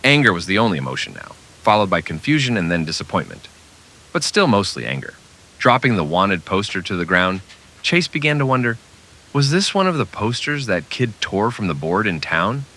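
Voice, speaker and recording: A man's voice: on the low side, sing-song, normal speed, bright, forceful tone, rough recording. A man narrating a scripted old-time western radio drama with dramatic storytelling delivery.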